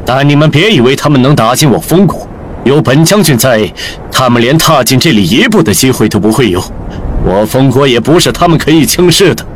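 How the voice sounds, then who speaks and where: A man's voice: medium pitch, lively intonation, normal speed, a little hiss or hum, close to an everyday voice. A man playing an arrogant, cruel, imposing general in an audio story, speaking in a cold, haughty, menacing manner. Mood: haughty, threatening. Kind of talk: Chinese audio drama acting.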